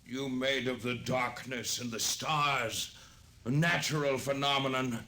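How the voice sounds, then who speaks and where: An actor playing a solemn, unyielding cult high priest in a 1950s radio drama, formal and severe. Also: male voice, fairly even intonation, a little slow, sharp tone. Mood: cold, accusing. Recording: echoey vintage radio recording.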